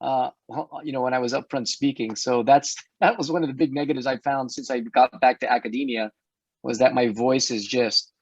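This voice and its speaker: A middle-aged man hosting an online health talk, chatty and hoarse, often restarting his words and laughing. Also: mid-range voice, steady tone, brisk, no echo. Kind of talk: casual panel chat. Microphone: video call. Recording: dull dry video-call audio.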